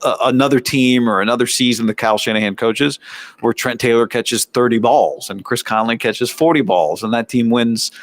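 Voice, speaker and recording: A man's voice: medium pitch, normal speed. A man with an American accent giving conversational, analytical sports commentary as a show host, often posing rhetorical questions and asides. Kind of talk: sports commentary, practice recap.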